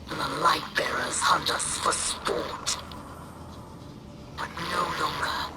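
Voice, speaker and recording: An actress playing a proud, militant alien rebel in a video game, oratorical, breathy and menacing. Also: female voice, deep voice. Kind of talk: video game villain acting. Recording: transmission with loud noise.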